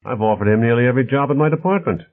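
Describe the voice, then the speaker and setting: A man's voice: mid-range voice, rapid-fire, voice pushed up, lightly acted. A man voicing a genial, confident male executive in an audiobook, expansive, assured and hospitable. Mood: animated, teasing. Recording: narrow phone-like band.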